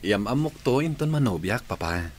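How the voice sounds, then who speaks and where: An actor with an Ilocano Filipino accent playing a mild, lovelorn grown son in a radio drama. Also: male voice, lowish voice, animated, normal speed, slightly rough. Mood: sheepish, earnest.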